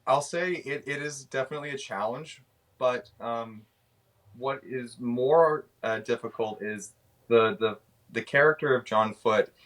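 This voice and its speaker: A man's voice: mid-range voice, unhurried, a bit bright. A man in his twenties with a Canadian accent, giving casual interview answers and often searching for his words. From British Columbia, Canada. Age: 20s. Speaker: actor, interview guest.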